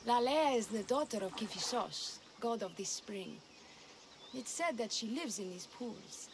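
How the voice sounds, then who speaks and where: A woman's voice: on the high side, lively intonation, a little slow, noisy background. An actress playing a feisty, headstrong woman warrior, a younger sister, in a video game, forthright and sardonic. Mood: determined, bitter. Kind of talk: video game cutscene acting.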